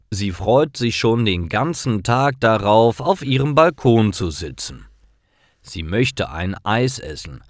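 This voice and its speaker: Low-pitched man narrating slowly and expressively. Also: no room sound, studio quality. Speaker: narrator of language-learning stories. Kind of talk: scripted story narration for learners.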